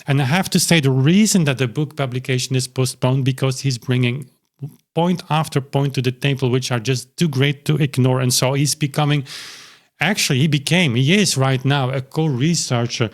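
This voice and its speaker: A man's voice: medium pitch, normal speed. Rambling man talking to camera. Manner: rambling, with many restarts and fillers. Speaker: YouTube channel host. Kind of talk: casual talk to camera. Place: small cozy home studio.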